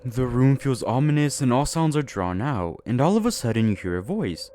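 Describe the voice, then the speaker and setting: A man's voice: medium pitch, expressive, normal speed, dark tone, a little hiss or hum. A man hosting his channel, reading a scripted theory narration in a dramatic storytelling way that builds suspense. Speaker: channel host.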